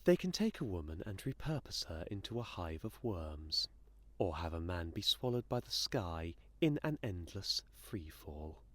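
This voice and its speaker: A man playing a menacing, condescending inhuman entity in an audio drama, speaking in a sardonic, theatrical and deliberate manner. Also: sits low, very animated, heavily acted. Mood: sardonic lecturing.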